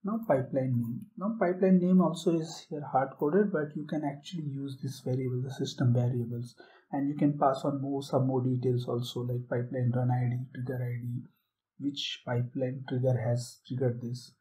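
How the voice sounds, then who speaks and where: A man presenting a software tutorial, explaining a screen-share demo step by step with occasional fillers. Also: mid-range voice, fairly even intonation, moderate pace, slight background noise, poor audio.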